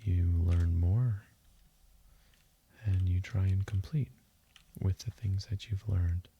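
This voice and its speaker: A man giving calm, soothing game commentary in a hushed, deliberate voice that drifts toward a whisper, as if for sleep. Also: low voice, creaky, highs cut off. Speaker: gaming video host. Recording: faint hiss with keyboard and mouse clicks. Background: keyboard typing and mouse clicking.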